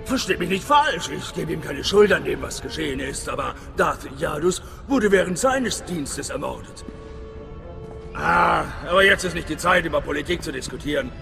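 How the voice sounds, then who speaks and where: A talkative, self-satisfied male station chief from a sci-fi video game. He is theatrical, fairly high for a man and gravelly, with music behind him in a big echoing space. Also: expressive, moderate pace, pushed and bright, exaggerated voice. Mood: confidential. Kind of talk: dramatic sci-fi game dialogue. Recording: long reverberant hall, music behind.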